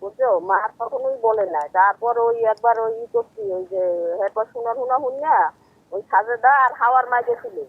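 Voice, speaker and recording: A woman's voice: a little high, very animated, fairly quick, gravelly, slightly rough, no room sound. A woman with a Bangladeshi accent talking casually in colloquial rural dialect over a thin telephone line. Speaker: phone-in guest. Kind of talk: casual phone conversation.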